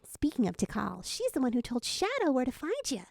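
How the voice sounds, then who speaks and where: A woman's voice: sits high, wildly swooping pitch, fairly quick, creaky, darkish tone, no room sound, clean studio sound, heavily acted. A woman playing a chipper, giggly, chatty cartoon girl in a story.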